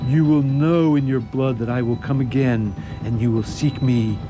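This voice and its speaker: A man playing a commanding, seductive undead vampire count in a radio play, grandiose and deliberate in his menace. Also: medium pitch, fairly even intonation, natural voice. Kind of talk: radio theatre acting. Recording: radio play under loud music.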